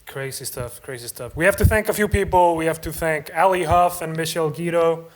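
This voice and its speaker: A man's voice: on the high side, a little fast. A man hosting a podcast, casually signing off and giving thanks. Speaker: podcast host. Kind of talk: casual show outro.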